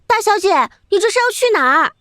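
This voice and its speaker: A woman playing an eager, anxious young maid with a deferential manner in an animated series. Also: very high-pitched, a little fast, dry recording, clean studio sound, full character voice. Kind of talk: animation voice acting.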